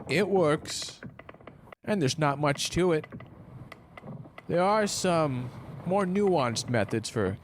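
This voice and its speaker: An actor playing a cheeky, casual sailor in an audio drama, talking in an offhand modern way. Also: male voice, medium pitch, slight background noise. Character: cheeky, casual male sailor needling his superior.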